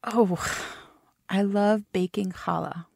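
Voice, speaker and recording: A woman's voice: mid-range voice, steady tone, slow, gravelly, a little mellow, no room sound, clean studio sound. A woman in her thirties from Costa Rica, a native Spanish speaker with a nearly American accent, chatting as a podcast guest, thoughtful, with fillers and easy laughs. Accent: near-American, slight Spanish. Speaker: podcast guest, startup founder. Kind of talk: casual interview chat.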